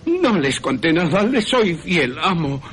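Radio drama: a crazed, fearful servant of a vampire, high frantic voice with big swoops. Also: male voice, sing-song, a little slow, fairly bright tone, a little hiss or hum, exaggerated voice. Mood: terrified grovelling. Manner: pleading, frantic.

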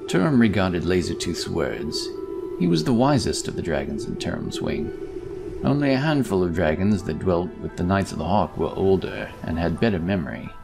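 Male fantasy narrator: very low, creaky voice, expressive storytelling over music. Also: moderate pace.

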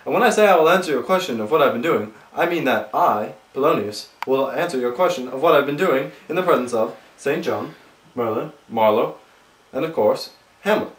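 A pompous, ponderous courtier played by a man in a stage comedy, heard in an echoey room from a distance. He is formal and long-winded, circling back over his phrases in a mid-pitched voice. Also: expressive, a little fast, close to an everyday voice. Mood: self-important. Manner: repetitive, formal, ponderous, stalling. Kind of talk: comic stage play acting.

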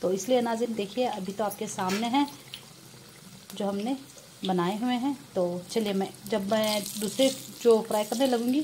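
Female home-cooking vlogger, unhurried and homely, speaking to viewers over a sizzling pan. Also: medium pitch, background noise. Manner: addresses viewers often as nazreen, unhurried and homely. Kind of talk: casual step-by-step cooking narration. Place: home kitchen beside frying pan. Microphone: phone camera over the stove, hands only. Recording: home kitchen with loud frying sizzle. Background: oil sizzling in the pan.

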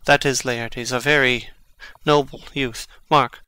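An actor playing a brooding, impassioned young prince in a dramatic Shakespeare reading, with deliberate theatrical delivery. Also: male voice, medium pitch, fairly even intonation, bright, forceful tone, clean tone, no echo. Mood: somber, watchful.

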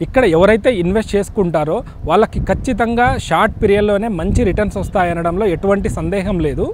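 A middle-aged man with a Telugu accent giving a sales pitch to camera as a company chairman, recorded outdoors. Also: fast. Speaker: company chairman promoting his venture. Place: outdoors on an open plot site by a highway. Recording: noisy outdoor location sound.

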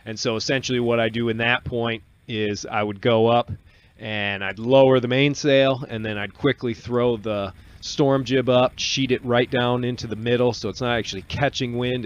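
Laid-back man explaining, slightly dull audio. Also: medium pitch. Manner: relaxed, explanatory, with restarts. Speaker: solo sailor vlogger. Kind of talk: casual voice-over commentary. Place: small cabin studio, indoors. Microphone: desk microphone on boom arm.

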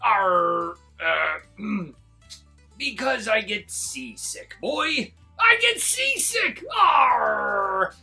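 A man voicing a gruff but soft-hearted pirate bus driver in a storybook, growling and drawing out his pirate talk. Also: sits high, big pitch swings, drawn-out words, soft background music, heavily acted. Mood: blustering.

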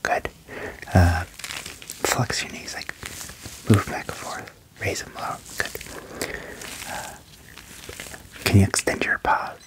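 A young man whispering gently into a close microphone in an ASMR medical roleplay, with short reassuring phrases. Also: low voice, poor audio. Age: young adult. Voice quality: whispered, breathy. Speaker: ASMR performer playing an alien doctor. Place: small wood-panelled room indoors. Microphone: close microphone with furry windscreen.

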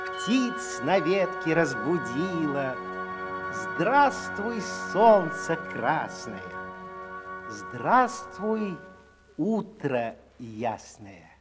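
A man narrating a children's fairy tale in verse with an expressive storybook delivery, on an old 1960s record. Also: a little high, drawn-out words. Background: orchestral music.

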